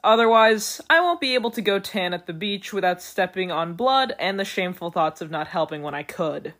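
Woman acting a lively, wry young heroine. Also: fairly low pitch, brisk. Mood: dramatic reluctance.

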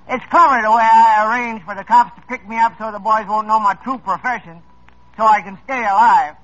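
Brief: high-pitched sly stool pigeon, drawling, old radio drama, muffled sound. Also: male voice, moderate pace, fairly bright tone. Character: sly male small-time police informer. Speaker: police informant. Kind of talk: 1950s radio drama acting. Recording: dull old radio broadcast.

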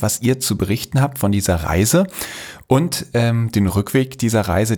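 A man hosting a podcast, introducing his guests and asking friendly, enthusiastic questions. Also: on the low side. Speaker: podcast host. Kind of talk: podcast interview.

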